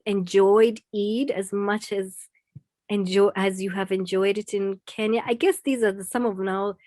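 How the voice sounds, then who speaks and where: A woman's voice: mid-range voice, fairly even intonation, unhurried, a little mellow, no echo, studio quality. A woman hosting a casual conversation, asking questions and rephrasing them as she goes. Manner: interviewing, restarts and rephrases questions. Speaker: host. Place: in England.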